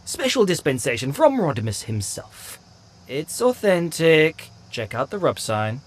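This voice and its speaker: A man playing a smug, eccentric robot weapons inventor who talks in a glib, excitable way. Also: a little high, a little slow.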